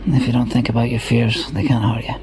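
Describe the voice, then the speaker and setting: A reserved outdoorsy Scot with a Glasgow accent, played by a male actor in a naturalistic radio play. He is gentle and understated, speaking plainly about nature, with clearly audible ambient noise behind him. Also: medium pitch, little pitch movement, a little fast, close to an everyday voice. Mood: guarded. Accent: Scottish, Glasgow. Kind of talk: naturalistic radio drama acting.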